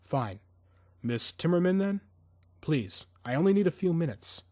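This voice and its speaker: A man's voice: on the high side, very animated, measured pace, warm tone. A man playing a polite, persistent young assassin posing as a reporter in an audiobook, courteous and insistently pleading. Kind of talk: audiobook character dialogue.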